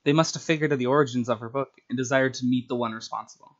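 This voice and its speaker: A man's voice: medium pitch, steady tone, rapid-fire. Male streamer with slightly muffled sound. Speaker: streamer reading own short stories. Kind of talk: story narration and casual livestream chat.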